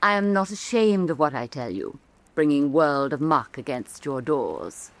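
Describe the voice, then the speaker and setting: A woman's voice: low-pitched, animated, a little slow, no echo. An actress playing an elegant, insinuating woman art critic of Renaissance Venice, arch and mannered, in a theatrical radio drama. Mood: proud, intense. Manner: arch, mannered, lingering, condescending.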